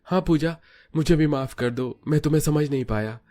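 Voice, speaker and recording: A man's voice: fairly high pitch, moderate pace, warm tone, voice pushed up, lightly acted. A man voicing a young, self-centred, whiny husband in a cartoon story. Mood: apologetic. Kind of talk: Hindi cartoon story voice acting.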